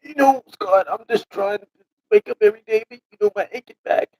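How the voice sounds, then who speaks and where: A man's voice: sits high, a little fast, warm tone, soft background music, pitched above the performer's own voice, rough recording. A man voicing an achy, complaining old man in an amateur comedy sketch. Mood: weary complaining.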